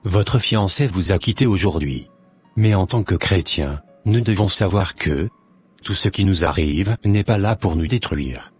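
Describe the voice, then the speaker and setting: Man doing a cartoon doctor, middle-aged, preachy, deep, husky, soft background music. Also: measured pace, full character voice. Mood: lecturing. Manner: calm, lecturing and preachy. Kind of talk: amateur cartoon voice acting.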